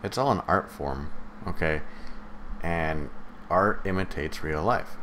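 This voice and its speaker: Deep voiced guy co-hosting a podcast, casual but blunt and emphatic, slight noise behind him. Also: slow pace, a bit bright. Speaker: podcast co-host. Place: live multistreamed podcast.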